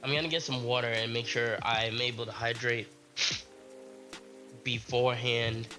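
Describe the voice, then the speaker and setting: A young adult man, sick with a strained voice, chatting casually on a live stream in a halting, rambling way. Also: medium pitch, little pitch movement, sharp tone, soft background music.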